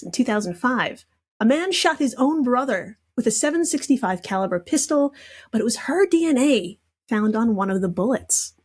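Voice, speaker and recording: A woman's voice: a little high, a little mellow. A giggly, irreverent woman reading out a comedic quiz casually as a podcast guest.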